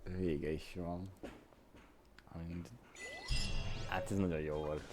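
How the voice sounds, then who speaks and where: Casting: Hungarian man, casual game streamer, deep register, leisurely pace. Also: little pitch movement, slow, noisy background.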